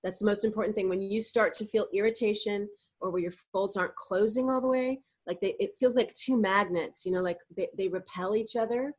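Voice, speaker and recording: A middle-aged woman, a voice coach, giving animated, explanatory answers in a podcast interview and sometimes demonstrating vocal exercises. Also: mid-range voice, brisk, husky.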